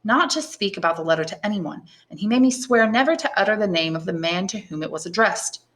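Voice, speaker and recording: An earnest young man in prison, from a classic adventure novel , voiced by a woman narrator. He sounds candid and naive, lifted in pitch, and puts emphatic feeling into his words. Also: quick pace, a bit bright, close to an everyday voice. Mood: candid, trusting. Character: Dantès, earnest, naive young male prisoner, easily astonished.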